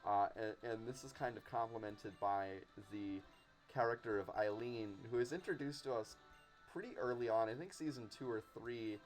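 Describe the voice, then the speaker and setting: A man's voice: medium pitch, normal speed, rough recording. Man chatting casually over game music. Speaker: podcast guest. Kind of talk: casual chat about cartoons.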